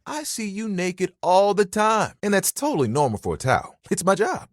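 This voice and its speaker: A man's voice: high voice, huge pitch swings, normal speed, no room sound, clean studio sound. An actor voicing a talking bath towel in a dating video game, a bashful, eager young guy who rambles casually and self-consciously in puns. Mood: friendly, modest. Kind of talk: dating game character dialogue.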